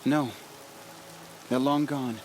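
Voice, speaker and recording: An actor playing a gentle, worried tribesman in a video game, speaking in an earnest, hesitant and kindly way. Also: mid-range voice, fairly even intonation, slow, slight background noise, natural voice.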